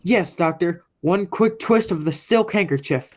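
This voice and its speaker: A woman's voice: lowish voice, lively intonation, measured pace. A young woman playing a menacing, murderous man in a radio play. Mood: commanding, menacing. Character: menacing murderous man back after twenty years away. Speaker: high school theatre actor.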